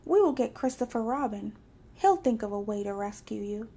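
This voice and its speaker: A woman voicing a gentle, slow-witted, kindly male toy bear in a storybook, soft and reassuring. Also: a little high, expressive, slightly rough.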